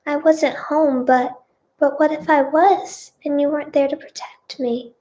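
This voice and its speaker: A woman voicing a tearful, anxious wife in a romance story, soft and fragile in manner. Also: high-pitched, fairly even intonation, a little slow, pitched above the performer's own voice. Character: tearful, anxious young wife seeking comfort.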